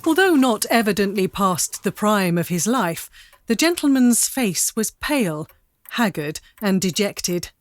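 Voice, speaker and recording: A woman's voice: medium pitch, very animated, a little slow, no room sound. A woman reading scripted narration for a dramatic audio story.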